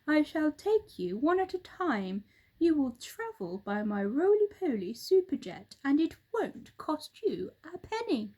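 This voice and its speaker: A cheerful storybook bird, acted out by a woman. The voice is high and lively in pitch. It explains things confidently and reassures like a helpful friend. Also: measured pace. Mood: reassuring, chatty.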